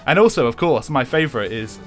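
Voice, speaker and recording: A man's voice: medium pitch, lively intonation, a little fast, faint music behind. A young English man chatting casually with his viewers while streaming a racing game, swearing freely and laughing often.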